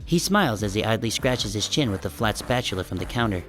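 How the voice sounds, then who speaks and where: A man playing a short, self-conscious sheep who narrates an audiobook in a wry, deadpan, casual first-person style. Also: lowish voice, fast talker, soft background music. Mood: wry, musing.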